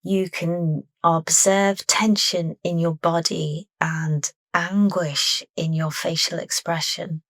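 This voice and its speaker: A woman leading a guided meditation with soft, unhurried, deliberate phrasing. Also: lowish voice, steady tone, no echo.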